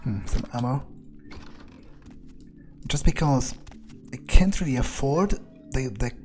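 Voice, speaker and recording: A man role-playing a ruthless, brooding vampire in a game playthrough, thinking aloud in a halting, coldly wry way. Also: medium pitch, expressive, a little mellow, soft background music. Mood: wry musing. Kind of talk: in-character game playthrough narration.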